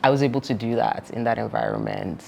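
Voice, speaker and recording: A woman's voice: rumbling low, steady tone, fast talker, creaky, mellow, a little hiss or hum. A Nigerian woman from Nigeria, a founder speaking as an interview guest, telling reflective stories in an earnest manner, laughing often and filling with "like" and "you know". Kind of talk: reflective conversational storytelling.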